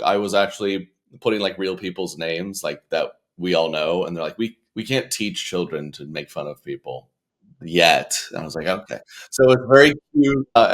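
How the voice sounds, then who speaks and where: A man, a young adult, chatting casually as an interview guest in a rambling, stop-start, joking way. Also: on the low side. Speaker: comedian and children's author, interview guest.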